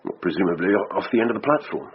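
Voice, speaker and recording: An actor playing a sceptical, world-weary British police detective, dry and dismissive, in a radio drama. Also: male voice, low voice, expressive, rapid-fire, breathy edge, highs cut off, full character voice. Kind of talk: scripted radio drama acting.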